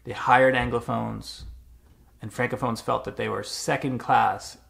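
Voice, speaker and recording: A Canadian man vlogging, speaking in a measured, thoughtful way with frequent uhs and small restarts. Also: medium pitch, steady tone, vocal fry, a bit bright. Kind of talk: reflective personal vlog. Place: Montreal.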